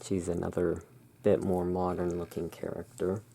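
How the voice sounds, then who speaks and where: An ASMR host in a gothic shopkeeper roleplay, musing in an unhurried, gently whimsical way while commenting on cards. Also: low voice, flat delivery, gravelly, a little hiss or hum. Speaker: host playing a gothic curiosity shop owner.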